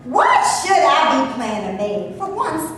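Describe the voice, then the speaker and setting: A woman reading a blunt, sassy actress who speaks slowly and deliberately. Her voice is high with lively swings in pitch, and the recording sits in an echoey hall with faint noise. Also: full character voice. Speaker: actor in a staged reading. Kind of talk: amateur stage reading.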